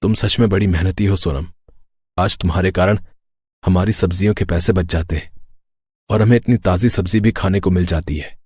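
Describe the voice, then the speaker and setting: A man's voice: low-pitched, normal speed, a little mellow, husky, no room sound, studio quality. A man voicing a mild, appreciative husband in a cartoon story.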